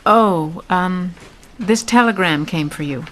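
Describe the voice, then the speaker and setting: An actress playing a calm, affectionate woman, tender and soothing, in an old-time radio drama. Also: fairly low pitch, slow, noisy background. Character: calm, affectionate woman tending her injured sweetheart.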